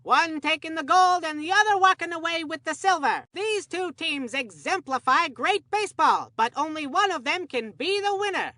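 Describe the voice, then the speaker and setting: A woman voicing an excitable, naive boy baseball commentator in a cartoon sports game, with an earnest, folksy delivery. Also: extremely high pitch, moderate pace, hardly any pauses, no echo, studio quality, heavily acted. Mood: upbeat, earnest.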